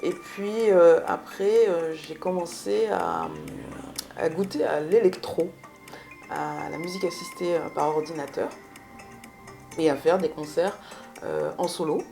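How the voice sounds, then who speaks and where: Low-voiced creaky woman giving interview answers. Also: a little slow, mellow, soft background music. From Belgium. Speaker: musician, singer and guitarist. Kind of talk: interview answers about personal career.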